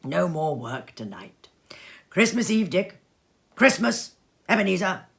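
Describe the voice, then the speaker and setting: A jovial, well-fed old master of a warehouse, acted out by a woman storyteller, hearty and oily. The voice swoops widely in pitch as it calls out merry orders in a storybook reading, taking its time over them. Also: very animated, unhurried, vocal fry, heavily acted. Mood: jovial, urging. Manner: hearty calling out, exclamatory.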